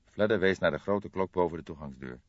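A man's voice: low-pitched, fairly quick, no echo. A man reading a crime novel aloud as scripted audiobook narration. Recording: dull lo-fi recording.

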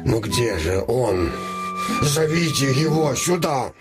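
A man's voice: medium pitch, big pitch swings, slow pace. An actor playing a weak, anxious, demanding new king in a theatrical fairy-tale play. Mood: weak, insistent. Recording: loud music behind the voice.

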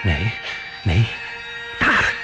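Excitable young sleuth, husky and animated. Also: male voice, fairly low pitch, extremely slow, faint music behind, heavily acted. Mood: determined. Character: excitable young amateur sleuth, earnest, argumentative nephew. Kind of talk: Dutch radio drama acting.

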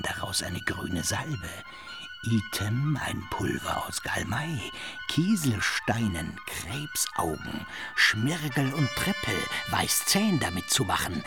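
An actor playing a sly, scheming market quack in a radio drama, with a theatrical delivery. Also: male voice, fairly high pitch, heavily acted. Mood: secretive listing.

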